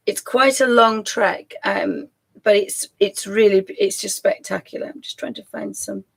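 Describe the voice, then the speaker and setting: A woman hosting a live stream, chatting casually and rambling with a croaky voice, often laughing and trailing off. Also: mid-range voice.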